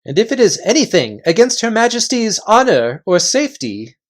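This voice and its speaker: A storybook reader voicing a gallant, fierce little talking mouse who speaks in a formal, courtly, deliberate manner. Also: medium pitch, very animated, pitched above the performer's own voice, close to an everyday voice. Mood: indignant, threatening.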